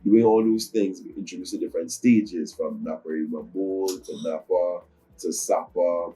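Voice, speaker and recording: Low, mellow young Nigerian man. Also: steady tone, normal speed, faint music behind, low-quality recording. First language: Yoruba. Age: young adult. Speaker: guest musician. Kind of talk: interview answers.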